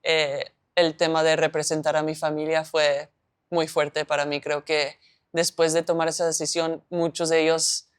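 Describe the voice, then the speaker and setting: A woman's voice: low voice, flat delivery, moderate pace, clean tone. A woman in her twenties from the United States answering interview questions reflectively, often hedging her words. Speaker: professional footballer.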